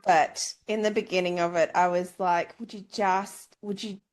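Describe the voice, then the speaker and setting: A woman's voice: lowish voice, steady tone, moderate pace. A woman co-hosting a book club podcast, chatting informally and with emphasis. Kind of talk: casual chat about books.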